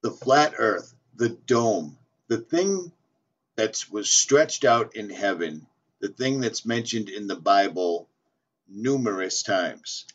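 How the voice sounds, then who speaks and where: A man's voice: fairly low pitch, animated, takes it slowly. A man rambling insistently in a casual monologue, repeating himself and stumbling as he reads aloud. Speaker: flat earth video maker. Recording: dull lo-fi audio.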